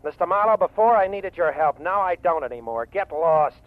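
Actor as a dismissive caller, raised pitch, tinny phone line. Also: male voice, expressive, brisk, no echo, close to an everyday voice. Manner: curt dismissive. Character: curt male caller brushing off a detective.

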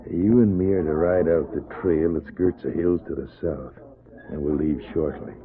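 Western outlaw leader, cold-blooded schemer, folksy and commanding, extremely low voice, vintage radio recording. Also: male voice, moderate pace, phone-quality sound. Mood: scheming. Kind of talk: old-time radio western acting.

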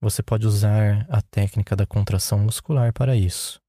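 A man with a Brazilian Portuguese accent leading a guided meditation in a calm, unhurried, instructional way. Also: lowish voice, no room sound, clean studio sound.

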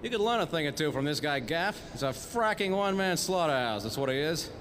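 Higher-voiced, brash police boss. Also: male voice, animated, a little fast, fairly bright tone, a little hiss or hum. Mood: gruff, sardonic. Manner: blunt, slangy. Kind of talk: 1940s noir radio drama acting.

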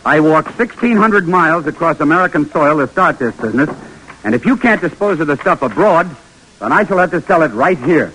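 A man's voice: a little high, fairly quick, noisy background, lightly acted. An actor playing a determined young businessman who believes in his idea, in an old radio drama. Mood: earnest. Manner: earnest, expressive. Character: determined young male canning entrepreneur, earnest. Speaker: narrator and lead. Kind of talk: 1940s radio acting. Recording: old radio recording with music behind.

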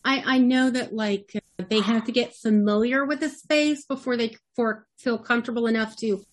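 A woman's voice: fairly high pitch, steady tone, normal speed, a little hiss or hum. A woman hosting a casual podcast interview, chiming in with short affirmations and easy anecdotes. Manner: friendly, with many short affirmations.

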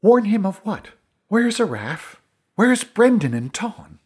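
A headstrong young princess from a fantasy storybook, acted out by a man, indignant and forceful. The voice is pitched high and swoops with feeling, with a husky edge. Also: big pitch swings, normal speed. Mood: angry, disbelieving.

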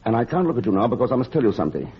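An actor playing an earnest, tender gentleman in love, in an old radio drama, with a husky voice. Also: medium pitch, very animated, very fast. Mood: tender, intense. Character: earnest, lovelorn English gentleman, tender and resigned. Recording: muffled old radio recording.

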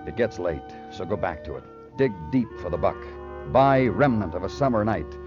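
An actor playing a brooding, poetic hard-boiled police detective in a measured, solemn radio crime drama style. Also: male voice, fairly low pitch, animated, lightly acted. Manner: measured, solemn, literary delivery. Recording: dull old radio recording with music.

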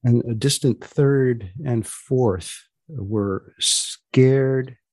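Dry understated guy hosting a podcast, bit husky, no room echo. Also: mid-range voice, extremely slow, darkish tone. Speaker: podcast host. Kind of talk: conversational interviewing.

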